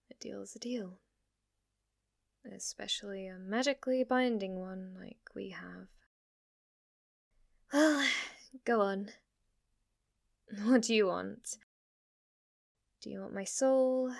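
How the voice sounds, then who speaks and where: A woman's voice: medium pitch, gravelly, no room sound, studio quality. A woman voicing an obsessive, lovestruck witch in an intimate ASMR roleplay, with a hesitant, gushing way of talking. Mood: downcast, resigned. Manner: hesitant, rambling, gushing, giggly delivery. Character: lovestruck yandere witch, obsessive, shy yet giddy, teasing.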